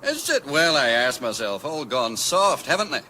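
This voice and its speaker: A man's voice: mid-range voice, very animated, moderate pace, pushed and bright, slight background noise. An actor playing a chatty, working-class space freighter crewman who gripes away in a radio comedy. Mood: grumbling. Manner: talkative, griping, rhetorical tag questions.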